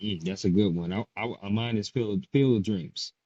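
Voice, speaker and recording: A man's voice: fairly low pitch, normal speed, warm tone, breathy edge. A man hosting a casual podcast interview, firing off playful questions with some slang. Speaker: podcast host. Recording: dull lo-fi sound.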